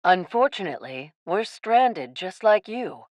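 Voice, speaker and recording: Radio play villainess captain: mid-pitched, animated, sugary and insincere, coaxing schemer. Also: female voice, measured pace, no echo. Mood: falsely sympathetic. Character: falsely kind, scheming cannibal captain woman.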